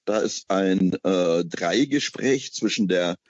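A middle-aged man from East Germany, a festival curator, answering interview questions in a halting way with many filler sounds. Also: low voice, no echo. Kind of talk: radio interview guest. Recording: dull lo-fi broadcast audio.

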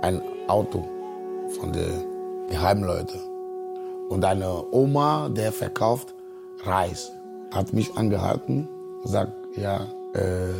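Man, thirties, low gravelly voice, measured halting delivery. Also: music in the background. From Togo. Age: 30s. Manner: hesitant, many fillers, non-native grammar. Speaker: interviewee. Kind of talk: slow, halting personal account.

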